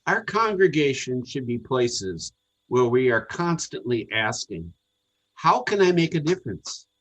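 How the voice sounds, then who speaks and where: A man reading a scripted sermon to a congregation in a measured, earnest, deliberate way. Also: medium pitch, very animated, highs cut off. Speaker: congregational service speaker.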